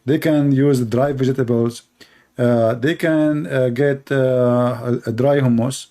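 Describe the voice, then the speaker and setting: A man's voice: mid-range voice, fairly even intonation, slow, dark tone. A man, hosting a commentary show and mocking video clips in a sarcastic, rambling way with many fillers.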